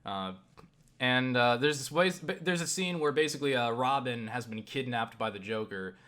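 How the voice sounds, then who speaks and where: A man talks off the cuff in a rambling vlog. His voice sits at an average pitch with a bright, forceful edge. He keeps breaking off and looping back mid-thought, cursing casually as he goes. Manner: halting, self-interrupting, circling back with repeated "you know"; casually profane. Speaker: YouTube vlogger.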